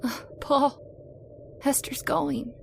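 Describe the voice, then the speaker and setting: A woman playing a frantic, possessed young wife with halting, pleading delivery in an old-time radio horror drama. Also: high-pitched, steady tone, creaky, noisy background, full character voice. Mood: fading, weak.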